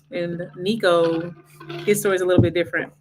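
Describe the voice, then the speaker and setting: A woman with a Cajun accent in her thirties, chatting softly as co-host of a home livestream. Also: lowish voice, fairly even intonation, normal speed, background noise. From Lafayette, Louisiana. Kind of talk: casual chat.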